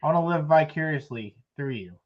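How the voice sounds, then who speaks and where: A man's voice: fairly high pitch, expressive, fairly quick, background noise. A man co-hosting a casual livestream, enthusiastic and stumbling over his words. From South Texas. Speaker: livestream co-host. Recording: lo-fi stream audio.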